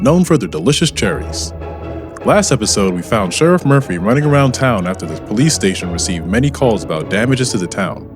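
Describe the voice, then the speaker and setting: A man hosting and narrating a scripted radio drama. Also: lowish voice. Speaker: host and narrator. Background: music.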